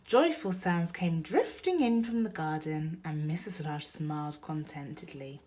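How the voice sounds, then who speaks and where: A woman's voice: low-pitched, lively intonation, unhurried, background noise. A woman storyteller reading a picture book aloud expressively for young children.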